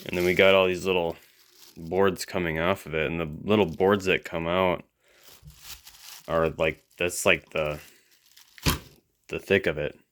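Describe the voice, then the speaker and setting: Low-voiced man thinking aloud casually. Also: fairly even intonation, measured pace, pauses often, a bit bright, a little hiss or hum. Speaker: hobbyist video host. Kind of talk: casual off-the-cuff hands-on commentary while inspecting electronics.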